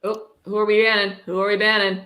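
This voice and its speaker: A woman's voice: medium pitch, flat delivery, fast, pushed and bright. A woman hosting a livestream, chatting casually and reading aloud in an informal, sweary way. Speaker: livestream host. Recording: roomy, echoing livestream audio.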